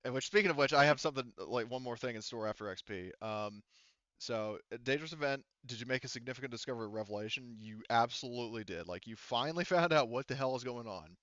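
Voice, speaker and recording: A man's voice: medium pitch, expressive, fast talker, fairly bright tone, no room sound, highs cut off. A man talking casually and informally, with some swearing, as game master of a tabletop roleplaying game.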